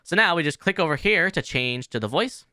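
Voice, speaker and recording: A man hosting a casual software tutorial. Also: fairly high pitch, animated, brisk, smooth, no room sound, studio quality. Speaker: tutorial host.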